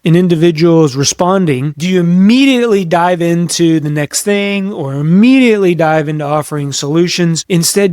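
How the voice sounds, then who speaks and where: A man hosting an instructional podcast as a coach, speaking conversationally and emphatically with deliberate pauses. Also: fairly high pitch, no echo, studio quality. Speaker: podcast host and leadership coach. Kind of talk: instructional solo podcast talk.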